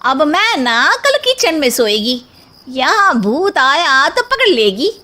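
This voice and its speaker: A woman's voice: sits high, very animated, takes it slowly, pushed and bright, exaggerated voice. A woman playing a brash, feisty young daughter-in-law in a story, with bossy, cheeky, slangy street-smart talk. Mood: bold, confident. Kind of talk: animated Hindi story voice acting.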